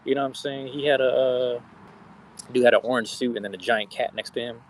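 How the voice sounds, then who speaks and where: A man's voice: medium pitch, fairly even intonation, fairly quick, poor audio. A man in his thirties chatting casually with his viewers in a slangy, profane, rambling way. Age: 30s. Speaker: streamer. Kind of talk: casual live-stream chat with viewers.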